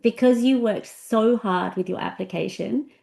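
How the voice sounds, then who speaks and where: A woman's voice: on the high side, normal speed, creaky, darkish tone. Upbeat woman hosting a video interview. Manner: upbeat, enthusiastic, effusive. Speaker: interview host and visa coach.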